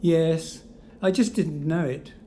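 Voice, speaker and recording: A man's voice: a little high, slow pace, dark tone. A man playing a flippant, rueful ghost of a failed inventor, joking dryly in an audio drama. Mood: resigned. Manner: dry, joking, resigned. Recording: faint noise behind.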